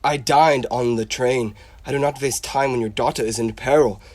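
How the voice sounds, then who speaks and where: A grave professor, acted by a man, in a radio play. He speaks formally in a medium-pitched voice, stern and probing. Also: steady tone, normal speed, a little mellow, no echo, close to an everyday voice. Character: brisk, grave visiting Dutch professor investigating illness. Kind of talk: stage radio drama acting.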